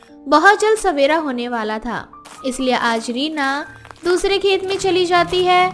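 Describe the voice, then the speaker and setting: A woman narrates a scripted story. Her voice sits high. Soft music runs faintly underneath.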